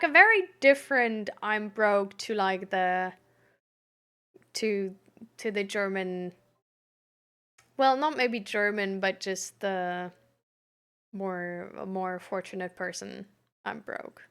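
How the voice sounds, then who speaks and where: A woman chatting casually like a livestream host reading and answering messages, halting and giggly, with lots of "like" as filler. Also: medium pitch, sharp tone, clear, resonant tone.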